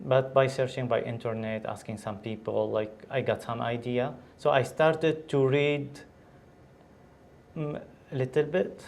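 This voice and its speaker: Syrian male doctor, English, halting casual interview answers. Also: mid-range voice, steady tone, vocal fry, a little mellow. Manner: hesitant, many restarts and fillers. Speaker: guest, physician.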